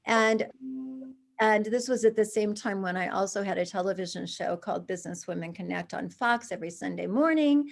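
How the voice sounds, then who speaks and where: A woman in her sixties, a charity founder, telling her story as a guest in a conversational interview, with lively restarts and asides. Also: medium pitch, a little fast, gravelly.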